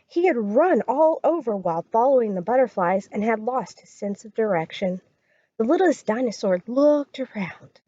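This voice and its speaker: A woman reading a children's story aloud as a storytime reader, expressive and dramatic. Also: on the high side, no echo, lo-fi sound.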